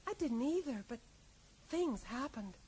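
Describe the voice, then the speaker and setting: High-voiced American widow. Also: female voice, lively intonation, measured pace, warm tone. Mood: matter-of-fact, reflective. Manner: rambling, ends remarks with tag questions. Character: plain-spoken small-town widow, resigned and insecure. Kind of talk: radio drama dialogue. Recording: faint noise, 1980s radio play.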